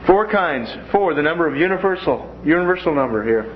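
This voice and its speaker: A man's voice: a little high, animated, fairly quick. Man teaching a folksy Bible class. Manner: folksy, joking asides. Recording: dull lo-fi classroom recording with faint noise.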